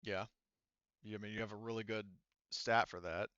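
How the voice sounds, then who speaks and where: A man's voice: lowish voice, fairly even intonation, normal speed, bright, forceful tone, clear, resonant tone, no echo, highs cut off. A man explaining tabletop game rules as game master, casually rambling and thinking aloud.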